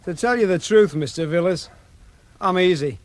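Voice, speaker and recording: An actor playing a callous villain in a radio drama, chatty and unhurried with mock sympathy. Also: male voice, high-pitched, expressive, no room sound. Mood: mock sympathy, menace. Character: callous, falsely solicitous villain, chatty and mocking.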